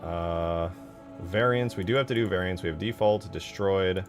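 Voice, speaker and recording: A man with a low, creaky voice talks casually through his work as a game-modding streamer. He thinks out loud and often questions himself in loose, informal language. Music plays softly behind him. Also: normal speed. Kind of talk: casual live commentary while working.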